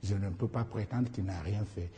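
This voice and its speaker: A middle-aged man from Equatorial Guinea, whose first language is Fang, answering interview questions in a halting way with many pauses and restarts. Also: fairly low pitch, mellow, big-room sound. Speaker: candidate being interviewed.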